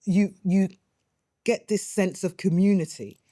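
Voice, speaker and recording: A middle-aged woman talks about her life in theatre in a chatty, animated way, laughing easily. Her voice is mellow and warm. The sound is close and dry, with no room around it. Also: medium pitch, unhurried, no echo. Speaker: actress and playwright. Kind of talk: interview, reminiscing.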